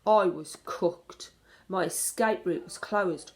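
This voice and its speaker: A woman reading a children's story aloud in an expressive narrating style. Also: lowish voice, takes it slowly. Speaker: storybook reader.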